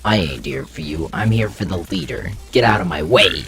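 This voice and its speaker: A deep, gravel-edged cyborg henchman, acted by a man for a comic dub. He speaks gravely and deliberately, with menace and devotion to duty. Faint music plays underneath. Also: lively intonation, fairly bright tone, heavily acted. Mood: menacing. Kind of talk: amateur comic dub acting.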